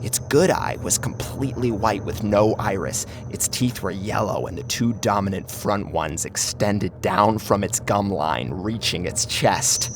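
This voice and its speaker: A man's voice: lowish voice, a little slow, hardly any pauses, noisy background. A man reading a scripted first-person horror story as a narrator, with a dramatic, theatrical delivery. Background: loud music.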